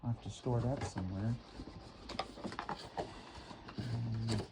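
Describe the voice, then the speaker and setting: A man's voice: medium pitch, fairly even intonation, slow pace. A man thinking aloud in a casual unboxing vlog, muttering to himself and repeating words, on a noisy amateur recording. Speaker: product reviewer. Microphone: phone, handheld or nearby.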